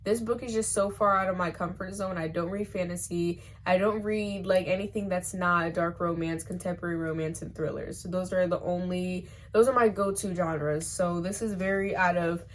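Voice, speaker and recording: A young woman talking straight to camera in a loose, chatty way, her voice sitting a little low. She rambles from one thought to the next and leans on filler words as she goes. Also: fairly even intonation, normal speed, hardly any pauses, slight background noise. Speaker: book vlogger. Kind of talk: casual chat to camera.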